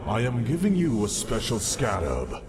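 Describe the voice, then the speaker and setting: An actor playing a cold, scheming man of mystery in a video game, speaking in a measured, formal and deliberate way. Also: mid-range voice, huge pitch swings. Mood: cool, commanding. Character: cold, scheming male mystery figure granting dark errands. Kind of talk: scripted video game dialogue. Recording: game music behind the voice. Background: music.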